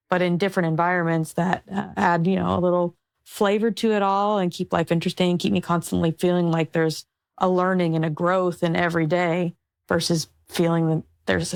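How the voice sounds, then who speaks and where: A woman hosts an interview podcast, talking in an eager, upbeat way. Her voice sits a little low with a gravelly texture. The recording is close and dry. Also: dry recording. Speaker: podcast host. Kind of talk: casual interview conversation.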